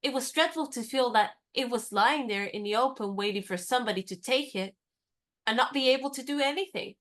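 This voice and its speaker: Actress as a headstrong, teasing young woman; crisp delivery, fairly high voice. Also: brisk, fairly bright tone, natural voice. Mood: wry. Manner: crisp, teasing and firmly insistent. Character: spirited, independent young woman, teasing and stubborn. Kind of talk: radio play acting.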